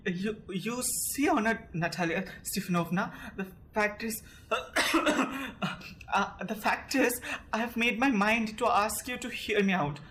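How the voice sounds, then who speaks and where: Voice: mid-range voice, slow, sharp tone, slight background noise. A young student actor with an Indian accent playing a nervous, fussy landowner suitor in an amateur audio drama, stammering and formal. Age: young adult.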